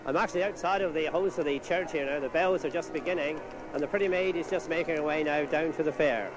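High-voiced, quick male outside-broadcast reporter. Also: rough recording. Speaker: radio reporter. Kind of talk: live outside broadcast interviewing. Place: outdoors at a street fair. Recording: outdoor fair tape with background music.

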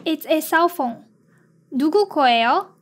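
High-pitched woman reader, extremely slow, evenly spaced lesson delivery. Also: animated. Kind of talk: slow reading of phrases and translations.

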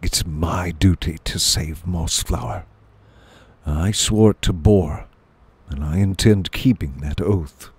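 A man's voice: sits low, takes it slowly, husky, dry recording, pitched below the performer's own voice, exaggerated voice. A man voicing a noble warrior mouse in a storybook, solemn and determined. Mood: solemn, resolute. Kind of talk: storybook character voice.

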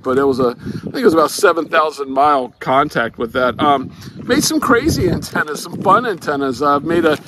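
An older man talking casually and explaining things to camera as a hobby radio vlogger, with a halting, rambling delivery that repeats words. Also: on the high side, noisy background. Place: outdoors in a grassy backyard.

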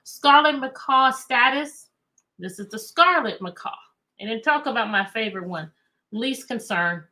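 A middle-aged American woman reading aloud to children as a teacher, animated and chatty, often asking questions. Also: fairly high pitch, unhurried. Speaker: teacher and read-aloud host. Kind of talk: interactive children's read-aloud lesson. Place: indoor room with bookshelves.

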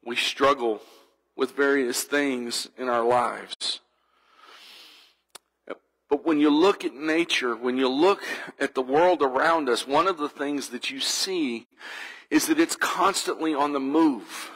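A man's voice: medium pitch, slow pace, fairly bright tone, poor audio. A man preaching a sermon as a church pastor, earnest and reflective, building through repeated phrases. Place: church sanctuary.

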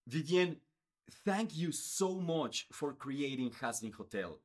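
A man's voice: fairly high pitch, slow. A man in his thirties from Mexico, a Spanish speaker, earnestly explaining to the camera with lots of hand gestures. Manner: earnest, animated, gestures a lot with his hands. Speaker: YouTuber. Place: indoors, in front of a space backdrop.

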